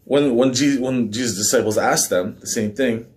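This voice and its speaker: A man in his thirties, slightly hoarse, hosting a casual men's devotional from his living room, talking in a relaxed way with fillers and small asides. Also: mid-range voice, moderate pace. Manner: relaxed and conversational, with filler words and small laughs. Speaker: men's ministry devotional host. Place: living room by a fireplace, indoors. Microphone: fixed camera at mid distance.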